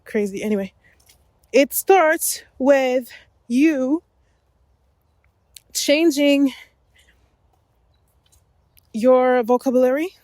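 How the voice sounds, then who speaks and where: A French-native woman talks slowly in a fairly high voice, rambling and correcting herself as she goes. She records her solo podcast on a walk along an outdoor forest path. The sound is dry and close, with almost no room around her voice. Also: darkish tone, no room sound. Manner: rambling, self-correcting, laughs often. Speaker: podcast host. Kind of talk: unscripted solo podcast while walking.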